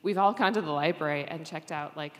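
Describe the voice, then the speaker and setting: In a big echoing hall, a woman with a slightly low voice talks as a panelist. She speaks unscripted and emphatically, stopping and restarting phrases as she makes her point. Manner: emphatic, halting with restarts and repeats. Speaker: panelist from a fiction podcast company. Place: indoor conference panel hall. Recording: reverberant hall.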